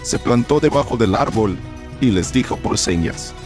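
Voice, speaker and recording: A man narrating a scripted story in a measured, formal storytelling manner. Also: mid-range voice, lively intonation, darkish tone, soft background music.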